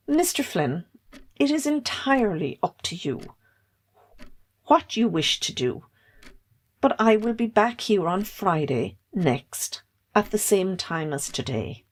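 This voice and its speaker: A woman plays a stern solicitor in a stage drama. She speaks very slowly and formally, firm and businesslike, at a medium female pitch. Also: big pitch swings. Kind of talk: amateur stage drama acting.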